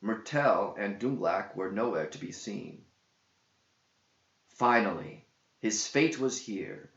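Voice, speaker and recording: A man with a fairly low voice narrates an epic in verse. He takes his time, declaiming theatrically. The recording is dull, with a clear echo of the room around him. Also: lively intonation, takes it slowly, fairly bright tone. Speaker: narrator, author reading own verse epic. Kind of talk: dramatic scripted verse narration. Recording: dull roomy echoing home recording.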